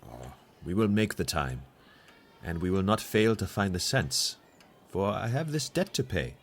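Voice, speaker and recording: A man playing a kindly, shrewd German professor in an audio drama, with a German accent, halting phrasing and teasing good humour. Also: low-pitched, very animated, a little hiss or hum, full character voice.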